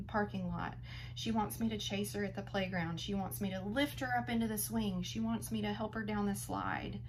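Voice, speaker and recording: Earnest gravelly-voiced woman in her thirties. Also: medium pitch, slight background noise, low-quality recording. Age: 30s. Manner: earnest and empathetic, speaks directly to the viewer. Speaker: vlogger sharing her chronic pain story. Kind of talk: heartfelt personal testimonial.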